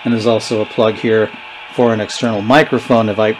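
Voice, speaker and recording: A middle-aged man gives a casual, unscripted product demonstration, haltingly thinking aloud as he goes. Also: lowish voice, little pitch movement, background noise. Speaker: presenter of a vintage electronics channel. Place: indoors, small tabletop product-shooting setup.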